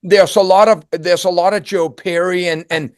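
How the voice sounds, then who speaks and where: A man in his sixties from Denmark with a Danish accent, a singer giving a reflective interview and talking in halting, repeated starts. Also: high voice, fairly even intonation, clear, resonant tone, no room sound, clean studio sound. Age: 60s. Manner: halting, repeats words, searches for phrasing.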